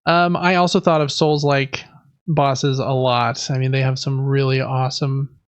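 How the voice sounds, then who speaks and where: A man's voice: medium pitch, level tone, normal speed, clean tone. A man chatting casually as a podcast host. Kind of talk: casual chat about video games.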